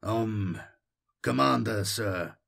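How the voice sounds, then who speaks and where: A man voicing a hesitant, doubtful military subordinate in an audiobook, speaking in a slow, halting way. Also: lowish voice, creaky, a bit bright, heavily acted.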